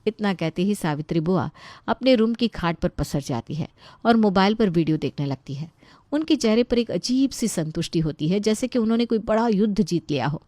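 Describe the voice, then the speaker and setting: A woman's voice: mid-range voice, animated, moderate pace, mellow, no room sound, clean studio sound. A woman narrating a scripted Hindi story as the storyteller.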